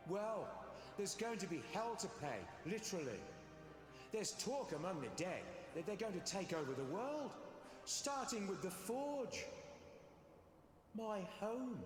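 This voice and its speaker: A young blacksmith ghost from a fantasy game, talks in a high, theatrical voice that swings up and down. He sounds wry and put-upon, in a long reverberant space with music behind. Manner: sardonic, aggrieved, theatrical.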